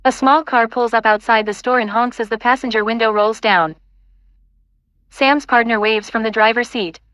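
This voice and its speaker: A woman's voice: a little high, steady tone, moderate pace, a bit bright, no echo, low-quality recording. A woman reading scripted stage directions as the narrator of an audio play.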